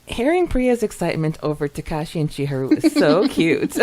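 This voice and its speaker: A woman co-hosting a podcast, chatting casually and reading out messages in an expressive, giggly way. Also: mid-range voice, moderate pace. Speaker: podcast co-host.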